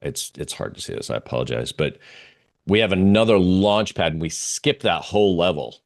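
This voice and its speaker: A man giving informal live market commentary as a guest analyst, halting and searching for his words. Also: sits low, lively intonation.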